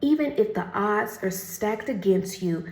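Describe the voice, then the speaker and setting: A woman doing a casual oracle card reading, chatty and laughing often, who calls the listener honey and reads the card text slowly and carefully. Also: medium pitch, expressive, a little mellow, echoey room.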